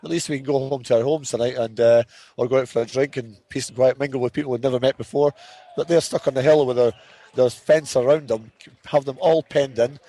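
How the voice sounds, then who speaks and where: A man's voice: medium pitch, steady tone, brisk, warm tone. A man hosting a live broadcast with casual commentary, often repeating and restarting his phrases.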